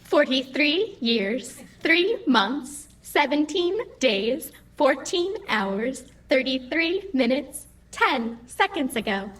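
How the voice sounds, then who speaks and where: A woman's voice: high-pitched, very animated, slow, few pauses, a bit bright, exaggerated voice. A woman playing a perky, literal-minded, slightly testy computer assistant in an audio drama, chirpy, officious and pedantically precise. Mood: precise, flat. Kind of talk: scripted audio comedy-drama acting.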